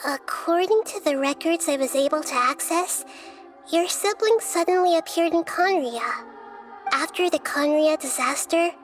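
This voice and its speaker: A gentle, thoughtful young goddess of wisdom played by a woman explains things calmly in a high voice. She speaks in a measured, careful way, and soft game music hums faintly underneath. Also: lightly acted. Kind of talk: game cutscene voice acting.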